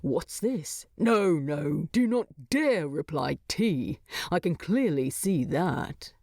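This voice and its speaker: A proud dwarf king from a fantasy tale, acted out by a woman. The voice is pitched down, gruff and rasping, with plenty of breath in it. The recording is close and dry. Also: expressive, slow, darkish tone, dry recording, heavily acted. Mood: gruff, teasing. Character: proud gruff male dwarf king, teasing, later dying.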